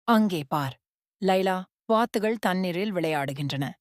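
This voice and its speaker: A woman's voice: on the low side, expressive, unhurried, no room sound. Eager little orange cat in a kids cartoon, lady voicing it, dry close sound. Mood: playful. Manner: eager, playful. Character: playful friendly orange male cat.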